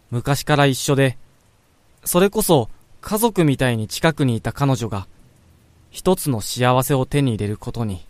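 Male actor as reflective young man, introspective, measured delivery, medium pitch, very dry recording, radio drama narration. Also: no room sound. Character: pensive young man quietly reflecting on a childhood friend.

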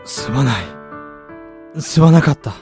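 A man acts out a broken father in a radio play, with a medium-pitched voice. He sounds heavy and inward-looking, weighed down by regret, while faint music plays behind him. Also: close to an everyday voice. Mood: ashamed, tearful. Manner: halting, heavy, introspective. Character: remorseful, broken middle-aged father narrating his story. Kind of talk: radio drama narration and acting.

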